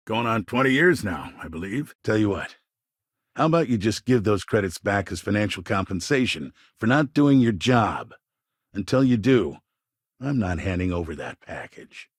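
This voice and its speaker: A middle-aged colonial governor from a video game, smooth-talking and self-important. His voice is fairly low and husky, with a dry, close sound. He phrases everything like a practised politician who is always scheming. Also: very animated, normal speed, no room sound, full character voice. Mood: firm, veiled threat. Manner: polished politician's talk, veiled threats. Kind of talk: game dialogue voice lines.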